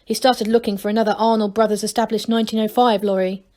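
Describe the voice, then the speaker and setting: A woman reading a children's novel aloud in an expressive storytelling style. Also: mid-range voice, fast talker. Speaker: story reader for a school.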